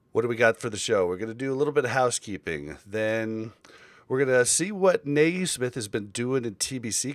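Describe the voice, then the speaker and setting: A man's voice: fairly low pitch, dry recording. A man hosting a casual podcast chat, rambling along with frequent fillers and restarts. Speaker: podcast host.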